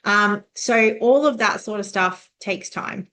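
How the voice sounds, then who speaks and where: A woman's voice: mid-range voice, takes it slowly, sharp tone. A woman hosting her own podcast, talking conversationally with many "um"s and restarts. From United States and Canada. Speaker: podcast host, business owner. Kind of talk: casual solo podcast.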